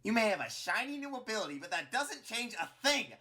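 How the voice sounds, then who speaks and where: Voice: medium pitch, very animated, slow pace, bright, forceful tone, voice pushed up, full character voice. A narrator voicing a scheming, bossy and angry male flower creature in a dramatic story, spitting out the words. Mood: bossy anger. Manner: roaring, spitting, disingenuous.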